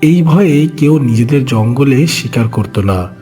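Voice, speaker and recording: A man's voice: medium pitch, animated, unhurried, warm tone, soft background music. A man narrating a scripted children's animal story as its storyteller.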